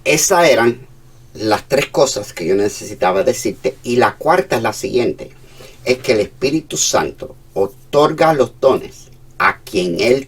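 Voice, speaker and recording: A man with a Cuban accent, preaching a sermon as a pastor, moving between hushed and emphatic passages. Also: fairly low pitch, slightly rough, far from the mic.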